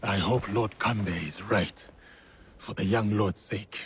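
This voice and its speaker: An actor playing a loyal, stoic samurai warrior in a video game, earnest and restrained. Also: male voice, lowish voice, unhurried, slightly rough, full character voice. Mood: concerned. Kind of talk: dramatic video game acting.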